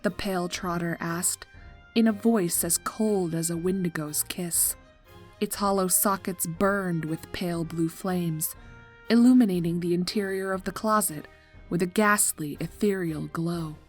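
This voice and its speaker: A woman narrating scripted fiction in an expressive, measured, drily comic storytelling style. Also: on the low side. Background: music.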